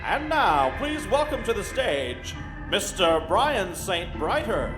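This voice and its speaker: A man's voice: high voice, sing-song, takes it slowly, echoey room. A man announcing a live show in a grand, theatrical old-time radio style, in a theater with music behind.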